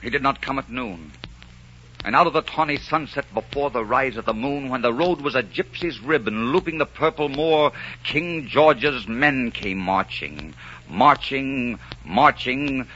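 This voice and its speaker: An actor playing a bold romantic highwayman and verse teller, intense and declamatory in 1940s radio style. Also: animated, unhurried, pushed and bright, a little hiss or hum. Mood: ominous, declamatory. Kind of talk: theatrical 1940s radio acting and verse recitation. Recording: muffled 1940s radio recording with music.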